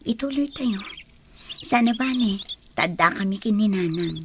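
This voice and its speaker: Actress as an earnest grieving daughter, birdsong, noise. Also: female voice, mid-range voice, expressive, unhurried, close to an everyday voice. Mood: wistful, resolute. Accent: Ilocano. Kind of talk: Ilocano radio drama acting. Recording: clearly noisy, birdsong effects behind.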